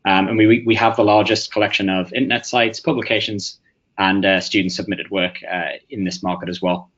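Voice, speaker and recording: A lower-voiced man with a Northeast English accent gives a product webinar. He hesitates a lot, with frequent fillers and restarts. The recording sounds muffled and lo-fi. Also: flat delivery, brisk, a bit bright. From England. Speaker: webinar presenter. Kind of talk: webinar presentation. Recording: muffled lo-fi audio.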